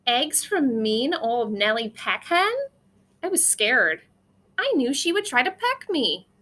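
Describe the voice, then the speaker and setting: A woman's voice: a little high, very animated, slow, bright, forceful tone, exaggerated voice. A woman voicing a timid, easily scared little child on a grandmother's farm, anxious and emphatic, in a storybook.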